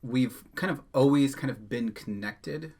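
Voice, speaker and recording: A man's voice: mid-range voice, darkish tone, a little hiss or hum. A man in his thirties co-hosting a book channel, chatting casually and adding short, dry asides. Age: 30s. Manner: brief, dry asides with quick back-channel replies. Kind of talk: casual chat.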